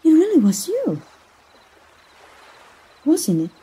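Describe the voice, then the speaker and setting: A woman from Mexico voicing a gentle, romantic young princess in an ASMR roleplay, soft and breathy, with a hesitant, earnest manner. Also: high-pitched, huge pitch swings, takes it slowly, pitched above the performer's own voice, heavily acted. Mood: joyful, amazed. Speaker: amateur voice actor.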